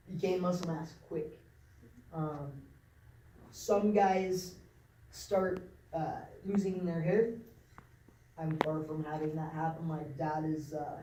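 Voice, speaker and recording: A man from Nebraska telling his story to a group of young people in an informal talk, pausing often with lots of ums and restarts. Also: fairly high pitch, mellow. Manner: halting, many ums and restarts. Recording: echoey room from a distance.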